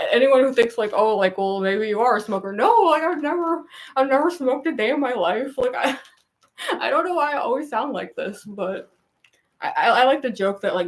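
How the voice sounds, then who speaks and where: A young woman with a gruff, raspy voice and a goofy laugh, chatting casually with viewers on a livestream, rambling with lots of fillers. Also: a little high. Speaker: cosplay livestreamer.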